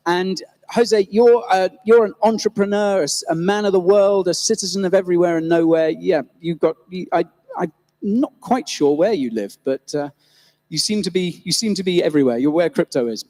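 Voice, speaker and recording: Guy hosting a live crypto panel, high voice, big echoey hall, kinda rambly intros. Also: normal speed, a little mellow. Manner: rambling, self-correcting introductions. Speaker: host and moderator. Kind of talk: live panel moderation on stage. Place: event stage before a live audience. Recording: long venue reverb.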